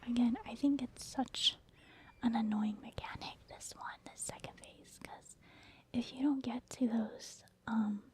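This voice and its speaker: A woman's voice: fairly high pitch, steady tone, unhurried, darkish tone. Whispering woman, casual gaming ASMR. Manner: casual, rambling, conversational. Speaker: gaming ASMR creator, commentator. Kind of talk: whispered ASMR gameplay commentary.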